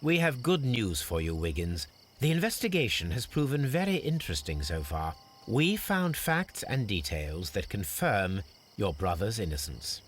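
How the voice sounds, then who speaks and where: Posh-formal victorian detective, british, deep and expressive, game voice, faint night bugs behind. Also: male voice, moderate pace, a little hiss or hum. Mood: brisk, assured. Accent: British English. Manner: precise, deductive, formal. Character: calm, confident Victorian gentleman detective. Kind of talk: video game voice acting.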